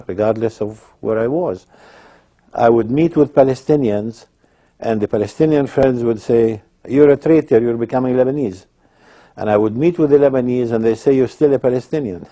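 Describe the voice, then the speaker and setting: A man's voice: medium pitch, warm tone. A man giving personal testimony in an emphatic manner. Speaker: interviewee telling his life story.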